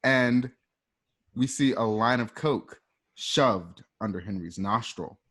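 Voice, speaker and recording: A man's voice: mid-range voice, slow, a bit bright. A man narrating a radio drama, reading out scene directions step by step in the present tense.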